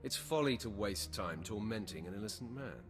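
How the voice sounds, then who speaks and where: An actor playing a confident young male Sith warrior apprentice in a video game, speaking in measured, terse sentences. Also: lowish voice, expressive, natural voice. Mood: measured, firm. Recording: long hall reverb, loud music.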